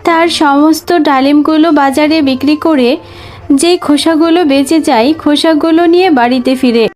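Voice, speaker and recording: A woman's voice: high-pitched, moderate pace, a little mellow, slight background noise. A woman reading scripted narration for a children's fairy-tale cartoon.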